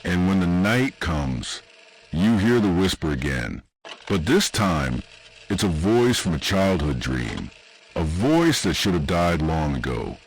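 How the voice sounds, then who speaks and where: A man reading scripted horror narration in an ominous, deliberate way, with short dramatic phrases and pauses. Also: deep voice, sing-song, slight background noise, low-quality recording. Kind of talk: scripted second-person analog horror narration.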